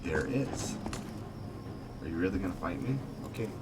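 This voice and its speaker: A man giving casual commentary on a roleplay game, talking in a measured, halting way. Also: sits low, expressive. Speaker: gaming video host. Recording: distant mic under loud music. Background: loud game music.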